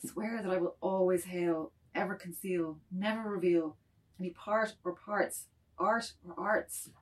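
A woman's voice: on the low side, steady tone, unhurried, close to an everyday voice, poor audio. A woman playing a kindly, anxious middle-aged man in a dramatic table reading, speaking in clipped, musing fragments. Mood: solemn.

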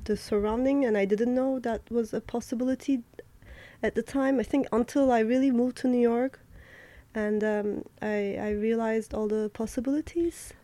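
A young woman from Turkey answers questions about her art, pausing often and restarting her thoughts. Her voice sits a little high and sounds mellow and soft. The recording is dry and close. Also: fairly even intonation, no room sound. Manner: thoughtful, halting, many fillers and restarts. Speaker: artist. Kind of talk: interview answers.

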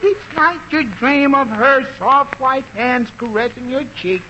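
High-voiced, wily comic husband, old radio. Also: male voice, unhurried, sharp tone, exaggerated voice. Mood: anxious pleading. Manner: hesitant stammering, chuckling salesmanlike patter. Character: wily, fretful suburban husband, sweet-talking to scare off rival. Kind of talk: 1940s radio sitcom acting. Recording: noisy, dull old radio broadcast recording.

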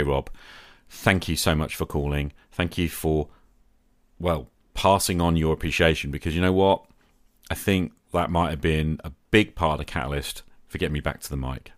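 A man's voice: extremely low pitch, a bit bright, dry recording, clean studio sound. A middle-aged man hosting a podcast, talking reflectively and gratefully as he replies to listeners. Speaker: podcast host. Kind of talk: solo podcast talk replying to listener calls.

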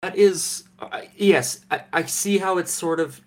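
A young Canadian man co-hosting a podcast from home, deadpan and mock-serious, with halting restarts. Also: sits high. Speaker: podcast co-host. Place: home, remote recording.

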